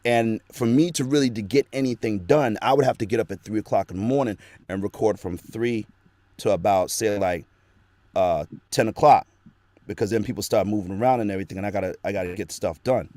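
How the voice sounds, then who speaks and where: A man's voice: fairly low pitch, a little fast, darkish tone, no echo. A man who works as a voice actor, talking earnestly and at length as a guest in a casual interview, recorded in a small home studio. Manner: earnest, rambling storytelling.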